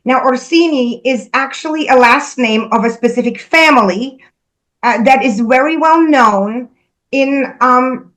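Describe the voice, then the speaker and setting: A woman's voice: fairly high pitch, a bit bright, rough recording. A woman speaking as a spiritual medium in casual conversation, earnestly relaying messages she says she hears.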